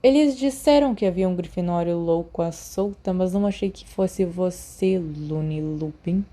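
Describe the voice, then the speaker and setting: A woman plays a mocking teenage wizard rival in a storybook reading. He drawls his taunts in a dark, mellow voice, dragging words out with scorn. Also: fairly low pitch, normal speed, close to an everyday voice.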